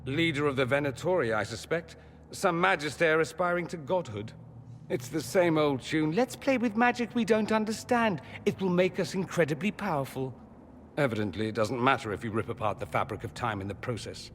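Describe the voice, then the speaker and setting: A man's voice: on the high side, animated, a little fast, a bit bright, long reverb tail, a little hiss or hum. An actor playing a witty, flamboyant male mage in a video game, with a wry, theatrical and articulate delivery. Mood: sardonic, breezy. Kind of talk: video game character acting.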